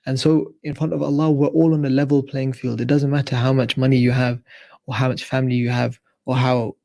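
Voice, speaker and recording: A man's voice: medium pitch, little pitch movement, a little fast, vocal fry, mellow. A man giving an earnest live radio sermon, building his points through repeated lists. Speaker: radio presenter giving an Islamic talk.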